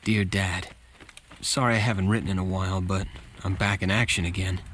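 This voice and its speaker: Deep-voiced earnest young Marine. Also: male voice, little pitch movement, fairly quick, a bit bright, slight background noise. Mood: earnest, reflective. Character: young US Marine soldier narrating, earnest and steady. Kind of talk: scripted narration, letter home.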